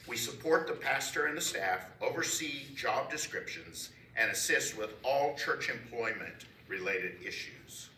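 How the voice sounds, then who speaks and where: A man reading out a committee statement at a church council meeting, upbeat, with pauses between phrases. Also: medium pitch, expressive, sharp tone. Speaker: committee member giving a report. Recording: echoey room, mic far off, faint noise.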